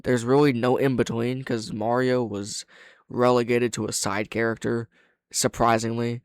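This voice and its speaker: A man's voice: mid-range voice, flat delivery, normal speed, clear, resonant tone, no room sound, studio quality. A man giving casual, off-the-cuff reaction commentary, often interrupting himself and swearing freely. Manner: hesitant, self-interrupting, frequent swearing. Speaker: reaction host.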